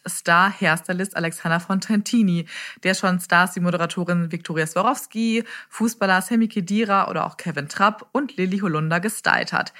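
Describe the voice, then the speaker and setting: Lower-pitched woman hosting a podcast. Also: sharp tone. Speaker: podcast host. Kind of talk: beauty podcast interview with scripted sponsor reads.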